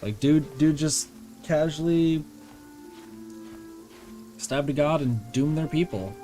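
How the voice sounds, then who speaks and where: A man's voice: fairly high pitch, slow, mellow. A young man commentates casually on a game stream. He talks in loose slang, repeating his phrases and swearing offhand, with faint game music underneath.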